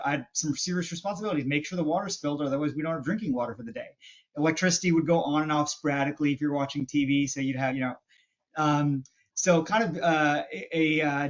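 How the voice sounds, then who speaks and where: A man in his thirties from western Canada with a light Canadian accent, a guest on a podcast, talking reflectively with many restarts and fillers. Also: fairly high pitch. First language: Hindi. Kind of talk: casual interview conversation. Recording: muffled remote podcast audio.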